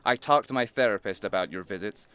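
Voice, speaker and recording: A man's voice: lowish voice, fast talker, sharp tone, smooth. An actor playing a wealthy, dismissive, dryly irritated man in an audio drama. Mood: defiant. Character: wealthy cynical modern man, irritated, Scrooge-like skeptic.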